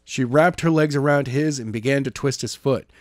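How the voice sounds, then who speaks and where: A man's voice: medium pitch, moderate pace, no echo, clean studio sound. A man reading a story aloud as an amateur narrator, now and then stumbling and rereading a phrase. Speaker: audiobook narrator.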